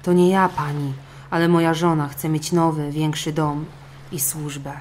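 A woman's voice: low voice, flat delivery, moderate pace, darkish tone, slight background noise, natural voice. A woman voicing a humble, good-natured, henpecked old fisherman in a storybook, meek and earnest. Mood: sheepish.

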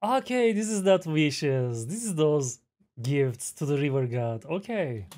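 A man in his thirties with a Turkish accent, hosting a reaction video with halting, admiring remarks and fillers, speaking into a headset mic in a small room at home. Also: on the high side, animated. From Turkey. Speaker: reaction channel host. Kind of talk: casual reaction commentary. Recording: loud music from the video underneath.

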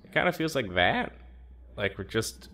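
A man's voice: fairly low pitch, sing-song, normal speed, pushed and bright. A man playing an anxious, hot-headed research subject in a naturalistic audio drama, stammering and informal. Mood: uneasy, awkward. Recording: faint background ambience.

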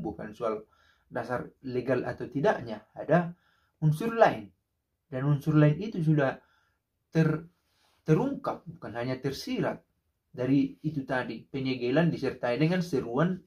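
A man's voice: a little high, darkish tone, dull audio. A man in his thirties giving casual spoken commentary to the camera in a small room, reflective and full of rhetorical questions. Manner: reflective, rhetorical, many fillers and restarts. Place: small indoor room by a window.

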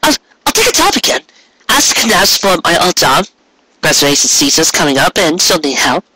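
A storyteller voicing a distressed, devoted young female pony who pleads in halting, stammering phrases. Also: mid-range voice, sing-song, background noise, voice pushed up, lightly acted. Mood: pleading.

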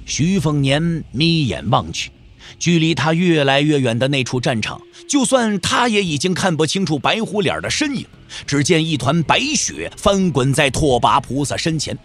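A man's voice: a little high, very animated, moderate pace, a bit bright. A man narrating a martial arts novel as a scripted audio drama, with an emphatic, suspenseful storytelling delivery. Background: background music.

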